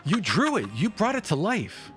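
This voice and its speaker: Man putting on an excitable, high-pitched coaxing wizard. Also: normal speed, over loud music. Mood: excited, coaxing.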